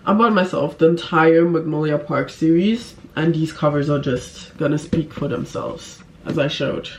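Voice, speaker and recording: A woman vlogger talks casually to the camera in a fairly low-pitched female voice. Her delivery is emphatic and gushing, with a giggly, informal way of talking. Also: normal speed, slight background noise. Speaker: book vlogger.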